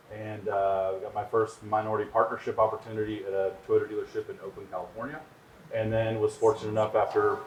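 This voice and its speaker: A middle-aged man on a panel telling his career story in a relaxed, self-deprecating way. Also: fairly low pitch. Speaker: panelist, chief operating officer. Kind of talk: panel talk. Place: event venue. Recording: echoey room, faint background noise.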